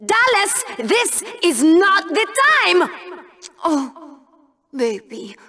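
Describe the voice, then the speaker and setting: A woman's voice: very high voice, sing-song, extremely slow, sharp tone, heavily acted. An actress playing a brash, scornful female TV co-anchor in a video game, with a theatrical, biting delivery. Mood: scolding, mocking. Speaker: show co-host. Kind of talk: over-the-top video game broadcast banter.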